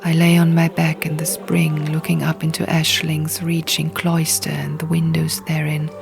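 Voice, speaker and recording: A woman reading a fantasy audiobook dramatically in the first person, in hushed, deliberate short phrases, over music and sound effects. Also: low voice, completely flat delivery, vocal fry. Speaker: audiobook narrator.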